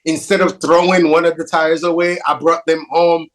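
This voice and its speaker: A man's voice: fairly high pitch, normal speed. A man from Haiti with a Haitian accent mixed with New York, hosting a casual interview chat and joking about himself as he talks. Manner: animated, self-deprecating, repeats phrases. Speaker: podcast host.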